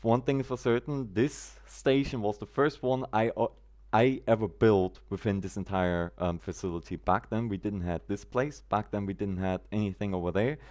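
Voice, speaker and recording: A man's voice: fairly low pitch, moderate pace, darkish tone. A man from Germany, chatting casually on a gameplay live stream, greeting viewers by name in quirky, roundabout phrasing.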